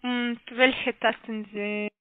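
A woman call-centre caller with a foreign accent in non-native speech, giving halting, insistent instructions and repeating her questions over a muffled phone line. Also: a little high, level tone, clean tone, no room sound. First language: not German. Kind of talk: scripted phone sales instructions.

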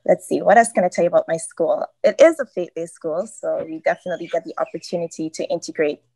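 A woman's voice: lowish voice, fast, a little mellow, husky, low-quality recording. A woman educator from Saint Martin with a Caribbean accent, answering interview questions in a friendly, enthusiastic way. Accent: Caribbean English, Saint Martin. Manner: friendly, enthusiastic about her island. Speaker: educator, guest.

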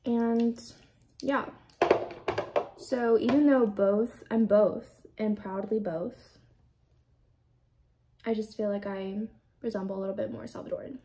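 A woman's voice: medium pitch, steady tone, slow, pauses often, dark tone, a little hiss or hum, low-quality recording. A young woman in her twenties from the United States, chatting casually while doing her makeup in a small room, rambling and filling her talk with "like". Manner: chatty, rambling, heavy use of "like". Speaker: beauty vlogger. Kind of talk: casual get-ready-with-me chat. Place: small indoor room with a plain backdrop.

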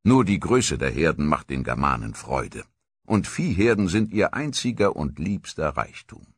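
A man giving dramatic scripted documentary narration with theatrical, deliberate storytelling. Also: very deep voice, very animated, husky, no room sound.

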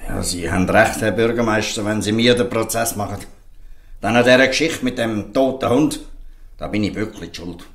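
A man's voice: on the low side, normal speed, a bit bright. An actor playing an earnest, defiant fisherman in a Swiss German audio drama, speaking emphatically and pleadingly. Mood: earnest, firm. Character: earnest, defiant fisherman defending outcast children. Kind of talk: audio drama dialogue in dialect.

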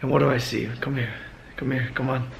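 Young man, American accent, hoarse creaky voice, animated slangy vlogger, noisy handheld indoor recording. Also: medium pitch, fairly quick. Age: early 20s. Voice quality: strained, hoarse voice. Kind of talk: casual vlog chat. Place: apartment rooms, indoors. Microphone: camera at arm's length.

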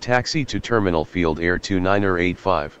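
A low-pitched, businesslike male pilot acted by a man for a flight control game. He gives clipped, procedural radio readbacks with a flat delivery. Faint engine noise sits behind the voice. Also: moderate pace, muffled recording. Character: businesslike male airline pilot answering the tower.

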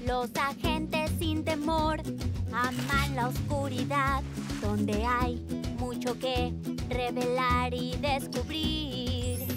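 A youthful cartoon train talks in earnest, expressive Latin American Spanish. The voice is high and unhurried. Loud children's music plays behind it. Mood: reassuring.

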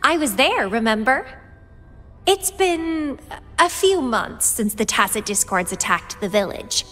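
Slow, teasing, high-voiced obsessive young woman. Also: very animated, a bit bright, big-room sound, heavily acted. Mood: cool, matter-of-fact. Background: music.

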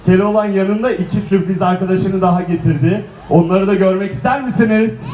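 High-voiced man hosting a children's show. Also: fairly even intonation, fairly quick, background noise. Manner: rallying, asks the audience questions. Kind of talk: live stage hosting for children. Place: children's event stage in a shopping mall. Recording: roomy event sound, faint music and crowd.

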